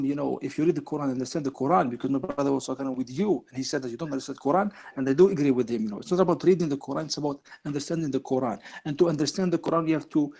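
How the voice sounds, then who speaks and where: Arabic-native debate guest, begs to finish, husky gravelly voice, fast. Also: male voice, on the high side, a little mellow. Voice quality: hesitant with many fillers. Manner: pleading, keeps asking to continue. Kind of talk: heated online panel debate.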